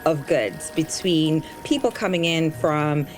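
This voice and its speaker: A woman's voice: low-pitched, animated, slow. A woman from Tanzania whose first language is Kiswahili, a guest explaining things on a kids' podcast. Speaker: guest educator and author. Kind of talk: podcast interview. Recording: music bed underneath.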